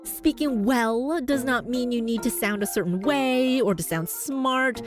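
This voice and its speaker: A Canadian woman with a neutral North American accent hosts a podcast as a voice coach. She sounds upbeat and encouraging, with her voice leaping upward to stress her points. Soft music plays faintly underneath. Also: measured pace. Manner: upbeat, encouraging, with big jumps in pitch for emphasis. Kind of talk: scripted podcast monologue.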